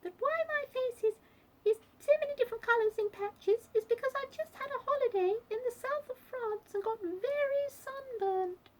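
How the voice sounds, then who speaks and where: A woman voicing a cheeky monkey posing as a polite duchess in a squeaky storybook voice. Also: moderate pace, a little hiss or hum, rough recording. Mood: squeaky, apologetic. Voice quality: squeaky, sometimes breaking into gruff. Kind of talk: children's storybook character voice.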